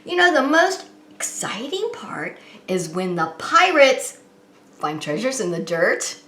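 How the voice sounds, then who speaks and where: A woman preschool teacher leading story time, talking to young children in an animated, sing-song way with questions she answers herself. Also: a little high, takes it slowly. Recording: roomy, echoing indoor sound.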